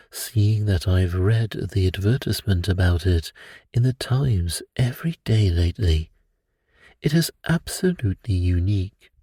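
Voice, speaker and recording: A man voicing a brilliant Victorian gentleman detective in a bedtime story, precise and assured. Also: sits low, breathy edge, dry recording, heavily acted.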